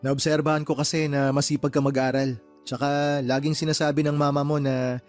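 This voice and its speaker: A man's voice: a little high, flat delivery, brisk, darkish tone, faint music behind, natural voice. A man playing a kindly, responsible uncle in a radio drama, polite and encouraging. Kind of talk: Filipino radio drama acting.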